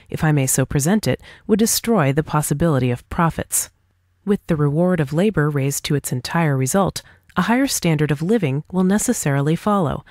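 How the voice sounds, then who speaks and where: A woman's voice: low-pitched, darkish tone, dry recording, studio quality. A woman reading a scripted dialogue aloud as for an audiobook, in a formal, argumentative manner. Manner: formal, argumentative, rhetorical questioning. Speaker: audiobook reader.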